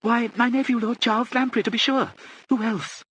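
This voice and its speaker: A man putting on a fluttery, high-pitched elderly lady. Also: fairly even intonation, normal speed, fairly bright tone, breathy edge, dry recording, heavily acted. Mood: anxious, hopeful. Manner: fluttery, hesitant, genteel and distressed. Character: frail, deaf, anxious elderly aristocratic lady.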